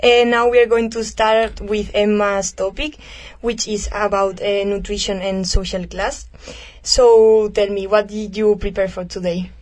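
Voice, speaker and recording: A woman's voice: mid-range voice, level tone, unhurried, a little mellow. A young woman hosting a casual volunteer radio show, friendly, and hesitant with fillers when giving her own opinion. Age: young adult.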